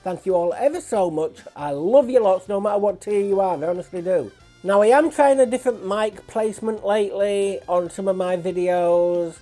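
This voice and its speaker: Mellow-voiced woman with a blocked nose. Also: mid-range voice, moderate pace, soft background music. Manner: chatty and apologetic. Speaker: reaction channel host. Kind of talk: casual chat to viewers.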